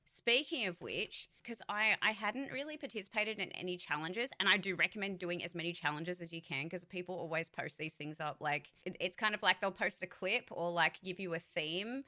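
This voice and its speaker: A woman's voice: fairly low pitch, moderate pace, few pauses, pushed and bright. A woman voice actor and streamer giving a casual vlog update, candid and rambling, swearing freely.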